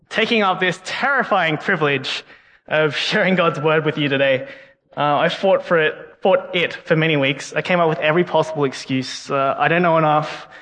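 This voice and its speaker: An earnest young man delivers a sermon, often stumbling back over his words as he thinks aloud. His voice sits a little high for a man. The sound carries a long reverb from the hall around him. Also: sharp tone. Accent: non-Canadian English, unplaced. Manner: earnest, frequent word repeats. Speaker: guest preacher. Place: church hall.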